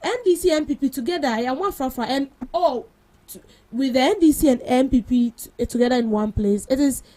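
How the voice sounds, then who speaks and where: A woman's voice: sits high, mellow. A young woman with a Ghanaian English accent, co-hosting a breakfast radio talk show and firmly arguing her views on the news. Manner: emphatic, quick to cut in with firm opinions. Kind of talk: casual radio chat about news.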